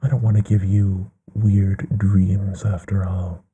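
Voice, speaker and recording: A man playing a courtly, bookish, gently affectionate vampire in an intimate ASMR bedtime roleplay, musing in a breathy hush that often slips into a whisper. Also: sits low, little pitch movement, highs cut off, heavily acted. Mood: drily amused. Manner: musing, genteel, unhurried with pauses.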